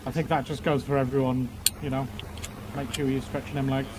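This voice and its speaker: Male roleplayer, mid-pitched, hesitant and wordy witness delivery, noticeable background noise. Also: little pitch movement, a little fast. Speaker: streamer roleplaying a court witness. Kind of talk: improvised online roleplay, giving testimony.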